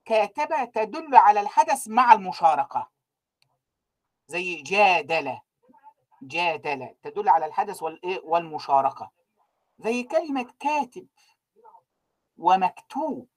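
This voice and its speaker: A man's voice: high-pitched, rough recording. Lecturer quizzing his class. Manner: keeps quizzing students with unfinished sentences, many drawn-out fillers. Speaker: university lecturer.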